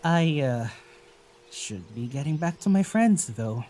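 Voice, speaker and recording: An actor playing a gentle, wary young traveler in a naturalistic audio drama, speaking in a hesitant, musing way. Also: medium pitch, animated, slow, slight background noise. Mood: friendly, tentative.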